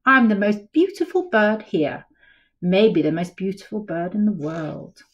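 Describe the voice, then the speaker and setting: A woman's voice: mid-range voice, expressive, unhurried, muffled recording, natural voice. A woman voicing a vain, boastful male parrot in a children's storybook, with a squawking, showy manner.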